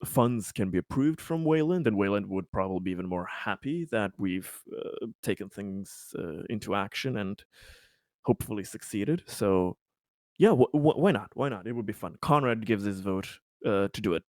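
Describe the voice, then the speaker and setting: A man playing a tabletop roleplaying game, casually arguing out plans and haltingly thinking aloud. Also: lowish voice, animated, a little mellow, dry recording, studio quality.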